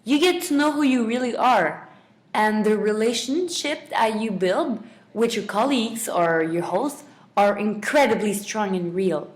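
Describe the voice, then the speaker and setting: A woman's voice: medium pitch, expressive, unhurried. A young adult woman, a student guest giving semi-prepared answers in a radio interview.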